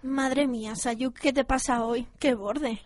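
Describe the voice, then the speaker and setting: A woman's voice: high voice, fairly even intonation, takes it slowly. A woman playing a fierce, protective, lovesick teenage girl in an improvised manga-parody radio comedy, brash and melodramatic. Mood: scornful. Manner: brash, foul-mouthed, melodramatic.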